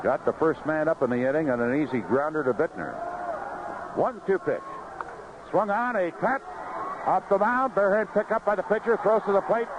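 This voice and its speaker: An old 1970s radio broadcast, muffled and full of noise, carries a man calling baseball play-by-play. His voice is fairly high and swings widely in pitch. He speaks in clipped phrases with pauses between pitches. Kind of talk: live radio sports commentary. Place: outdoor ballpark broadcast booth.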